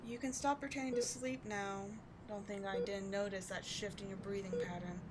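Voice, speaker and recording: A woman's voice: mid-range voice, fairly even intonation, moderate pace, close to an everyday voice. Woman acting a biting female supervillain, noisy recording. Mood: brisk, scornful. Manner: biting, contemptuous, commanding, with sighs. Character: sardonic female supervillain tending a wounded captive sidekick. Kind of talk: scripted audio roleplay acting.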